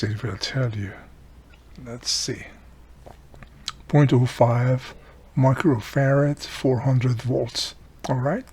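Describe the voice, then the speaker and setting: A man's voice: medium pitch, darkish tone, a little hiss or hum. Older man chatting through a radio repair. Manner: chatty, thinking aloud while working. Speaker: electronics repair hobbyist. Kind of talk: casual repair tutorial. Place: home workshop room.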